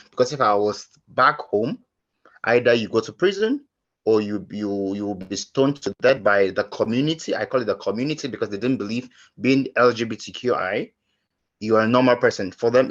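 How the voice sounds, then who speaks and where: A low-voiced man from Cameroon talks over a video call, his voice slightly muffled and lo-fi. He speaks earnestly, pausing often with fillers and restarting his sentences. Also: a bit bright. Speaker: panelist. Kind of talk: personal testimony on a webinar panel.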